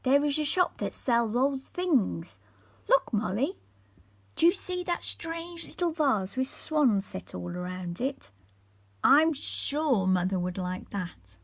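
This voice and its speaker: A woman voicing an eager, polite young boy with a brisk, decisive manner in a bedtime story. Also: on the high side, very animated, vocal fry, full character voice. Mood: excited.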